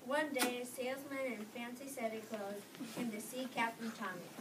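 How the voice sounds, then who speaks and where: Woman narrator over loud noise. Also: a little high, steady tone, normal speed. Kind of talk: scripted story narration read aloud. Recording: distant mic with loud background noise.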